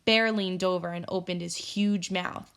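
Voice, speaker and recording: A woman's voice: fairly low pitch, steady tone, a little slow, fairly bright tone, clear, resonant tone. Woman reading a children's story aloud. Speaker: teacher reading aloud to pupils.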